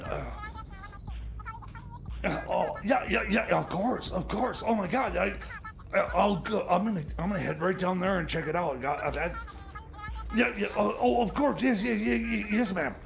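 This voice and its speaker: Man doing a very high, creaky, flustered tinkerer. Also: moderate pace. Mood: agitated. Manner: stammering, rambling, scattered, repeats himself. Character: flustered, eccentric male tinkerer and small-town fixer. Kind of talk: improvised comedy radio skit. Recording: faint background noise.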